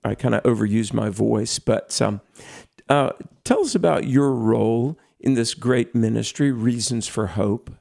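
A hoarse man interviewing a guest for a ministry program, asking eager questions. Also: medium pitch, a little slow, darkish tone.

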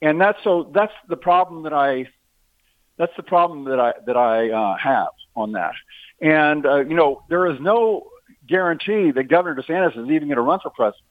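A man's voice: a little high, animated, normal speed, no room sound. A man on a phone line, a guest arguing politics in a casual talk-show chat and leaning on sports comparisons. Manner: talkative, uses sports analogies.

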